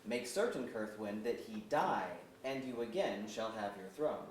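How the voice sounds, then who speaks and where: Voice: mid-range voice, lively intonation, a little slow, natural voice. A performer playing a stern, vengeful elf leader, declaiming formal archaic verse at a staged reading. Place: museum auditorium. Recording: echoey auditorium, far off, faint noise.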